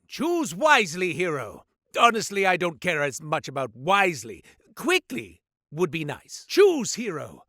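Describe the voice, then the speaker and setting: A man's voice: no echo, studio quality, heavily acted. A flamboyant goat-man merchant. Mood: wheedling. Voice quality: wildly swinging pitch, booming then muttering. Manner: grandiose salesman patter with deadpan asides. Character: flamboyant male goat-man merchant, showy, sly, theatrical. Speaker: shopkeeper character. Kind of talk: video game voice lines. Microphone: dry studio mic.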